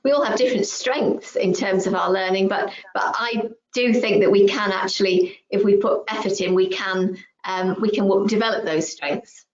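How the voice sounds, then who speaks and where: A woman, a school head, hosting a webinar over a video call, measured and encouraging with frequent ums. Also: medium pitch, steady tone. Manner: measured, encouraging, lots of ums and agreeing mm-hmms. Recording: echoey, muffled video call audio.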